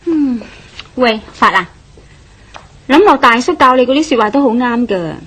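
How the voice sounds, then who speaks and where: A woman's voice: on the high side, animated, normal speed, fairly bright tone, slightly rough. A woman playing a pushy, poor companion in a radio drama, exclaiming and goading in Hong Kong Cantonese. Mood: urging.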